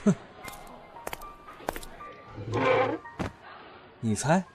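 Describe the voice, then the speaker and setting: A man's voice: fairly quick, noisy background, lightly acted. A man playing a menacing, vulgar, scarred older brother with a grudge in an audio drama, sneering and swearing as he taunts. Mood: taunting. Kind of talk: Chinese audio drama acting. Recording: music behind the voice.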